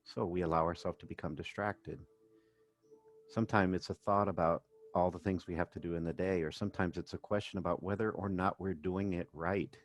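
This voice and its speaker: A man working as a meditation coach, narrating a guided session in gentle, measured phrases with pauses. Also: sits low, fairly even intonation. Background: background music.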